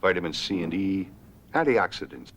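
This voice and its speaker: A very low-voiced male doctor played by an actor in a scripted dramatization. He explains in a calm, measured, formal manner, with faint background noise behind him. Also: dull audio. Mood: calm, instructive. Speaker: actor playing a physician.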